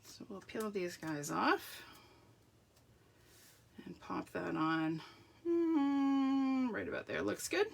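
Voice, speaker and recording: A middle-aged woman with a croaky, sore throat, chatting through a live card-making tutorial while she works with her hands. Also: medium pitch, lively intonation, slow pace, long pauses. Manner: chatty, self-deprecating, talks while working with hands. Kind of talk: live craft tutorial with viewer shout-outs.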